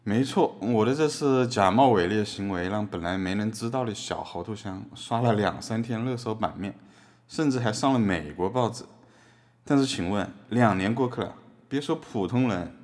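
Guy playing wry artist on trial in audio drama, lowish voice, chatty but defiant. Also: darkish tone. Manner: wry, conversational, rhetorical questioning. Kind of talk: scripted podcast courtroom drama.

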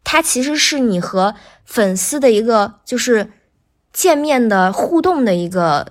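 A woman's voice: a little high, lively intonation, measured pace. A woman talking as a guest voice actor in a casual podcast chat, with a tentative, self-qualifying way of speaking that often repeats phrases.

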